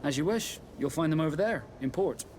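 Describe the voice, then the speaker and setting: A man playing a formal, stern middle-aged ship's captain with a measured, commanding way of speaking in a video game. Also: a little high, lively intonation, heavy background noise, close to an everyday voice. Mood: grave, concerned. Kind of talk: video game dialogue acting.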